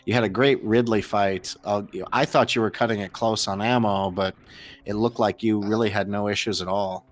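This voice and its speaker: A man's voice: lowish voice, fairly even intonation, moderate pace. An American man commentating and interviewing on a live gaming race in a casual, chatty, jokey way. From United States. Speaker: host and commentator.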